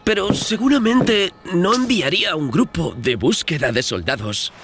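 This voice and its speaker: A man's voice: sits high, expressive, normal speed, music in the background, voice pushed up. Guy doing a shaken confused traveler, pushing for answers, voice raised up, audiobook drama. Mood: puzzled, urgent. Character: shaken English male traveller, anxiously questioning his host.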